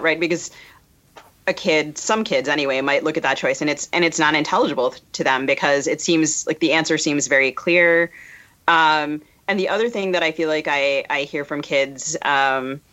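A woman co-hosting a podcast interview, feeling her way through questions in a halting, tentative, thoughtful manner. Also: low voice, fairly bright tone, dull audio. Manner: halting, tentative, thinks aloud. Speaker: podcast co-host.

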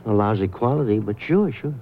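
An actor playing a casual, curious newspaper clerk in a 1970s radio drama. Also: male voice, on the low side, animated, brisk, slight background noise. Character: casual, curious male newspaper archive worker. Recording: phone-like old radio recording, music behind.

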